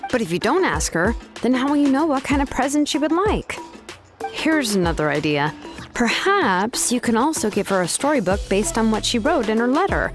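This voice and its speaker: An easygoing young woman from a video game. Her voice is fairly high and moves around freely, yet she stays casual and practical. Music plays underneath. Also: big pitch swings, normal speed. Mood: casual, suggesting. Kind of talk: game character dialogue.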